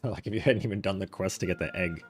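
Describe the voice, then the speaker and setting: Low-pitched, laid-back male game streamer. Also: very fast. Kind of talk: casual live playthrough commentary. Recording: game music behind the voice.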